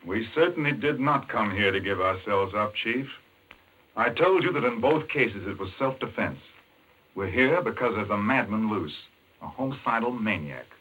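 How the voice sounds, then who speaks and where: An actor playing an intense, urgent doctor in a 1940s American radio mystery, explaining things emphatically. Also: male voice, lowish voice, animated, normal speed, pushed and bright, a little hiss or hum. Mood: urgent, insistent. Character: intense, obsessed male chess-playing doctor explaining a madman's scheme. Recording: muffled 1940s radio recording.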